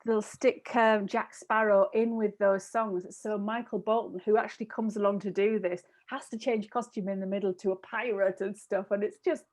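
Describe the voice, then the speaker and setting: Halting woman chatting, medium-pitched voice. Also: fairly even intonation, a little mellow. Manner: hesitant, self-interrupting. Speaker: podcast panelist and reviewer. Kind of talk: casual chat. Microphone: wired earphones on video call.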